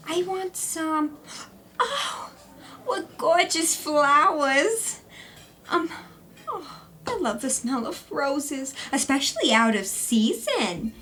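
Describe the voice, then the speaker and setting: A woman's voice: high voice, a bit bright, heavily acted. A woman playing a touchy, jealous newlywed wife in a 1910s New York comedy audio play, talking in a dramatic, slangy way. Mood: coy, delighted. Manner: dramatic, slangy, easily indignant.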